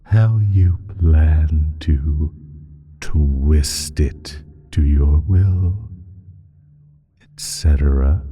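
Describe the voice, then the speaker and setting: Extremely deep, slow ancient evil entity. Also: male voice, mellow, full character voice. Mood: gloating, menacing. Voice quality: husky, breathy, very deep. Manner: slow, deliberate, condescending, darkly amused. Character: ancient evil male primordial entity, smug and menacing. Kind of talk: slow audio roleplay monologue.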